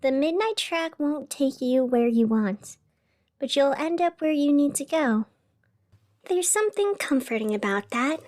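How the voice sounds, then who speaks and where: A woman playing a gentle, musing radio host in a scripted fiction podcast, speaking in a soft, reflective, conversational way. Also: high voice, expressive, measured pace. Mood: calm, musing. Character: gentle, musing young female radio host of a strange city.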